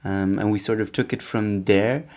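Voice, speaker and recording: A man's voice: fairly low pitch, steady tone, moderate pace, darkish tone, no echo. A young adult man giving prepared but hesitant interview answers as a podcast maker.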